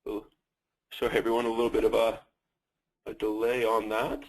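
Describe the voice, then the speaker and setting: A man's voice: lowish voice, completely flat delivery, moderate pace, rough recording. A man with a Canadian accent presenting a company in a webinar, talking with many fillers and restarts.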